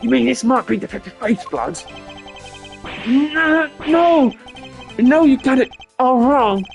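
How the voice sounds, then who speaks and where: A man's voice: very high-pitched, lively intonation, takes it slowly, creaky, heavily acted. A man voicing a cornered, crooked prosecutor in a video game, agitated and defensive. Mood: panicked denial. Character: cornered male murderer, crooked prosecutor in denial. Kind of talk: amateur video game voice-over. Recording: loud music under the voice.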